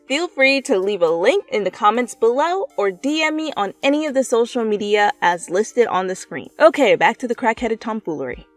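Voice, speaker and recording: A woman's voice: on the high side, no echo. A woman reading fan fiction and chatting as the channel's host, with a goofy, joking manner. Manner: goofy, joking, breaks into silly asides. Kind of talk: story narration and playful blooper chatter.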